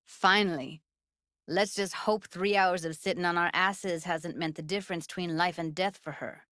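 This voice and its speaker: A woman's voice: lowish voice, fairly even intonation, moderate pace, fairly bright tone, no room sound, studio quality, close to an everyday voice. A woman playing a guarded, blunt but tender young techie in a video game, speaking in a casual, clipped, streetwise way. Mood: wry, tense. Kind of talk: naturalistic video game voice acting.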